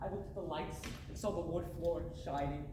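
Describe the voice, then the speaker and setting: A young actress playing an eager, insistent suitor at a dance in a school stage play. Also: female voice, sits low, a little fast, mellow, close to an everyday voice. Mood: eager, pressing. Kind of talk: high school one-act stage play. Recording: echoey auditorium, distant mic, background hiss.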